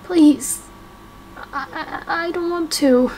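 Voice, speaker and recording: Woman voicing a frightened, high-voiced pleading boy. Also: slow pace, a little mellow, slight background noise, rough recording. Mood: anxious pleading. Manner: hesitant, stammering, pleading. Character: frightened, pleading young boy held captive. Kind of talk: amateur game roleplay acting.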